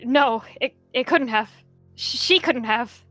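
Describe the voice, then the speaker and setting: A woman's voice: fairly high pitch, unhurried, fairly bright tone, faint music behind, pitched above the performer's own voice. Woman doing an anxious stammering she-cat. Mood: shocked. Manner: hesitant, stammering.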